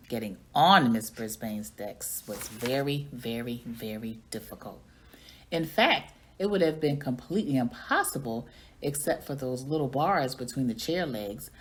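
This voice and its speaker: Female, low pitch, very varied intonation, expressive children's storytelling, read-aloud. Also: a little slow. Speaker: reading coach or teacher reading aloud.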